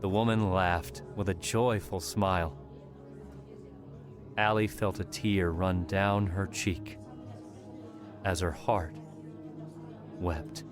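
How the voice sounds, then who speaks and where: Low-voiced man narrating a story. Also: steady tone, slow pace, long pauses, music in the background. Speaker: audiobook narrator.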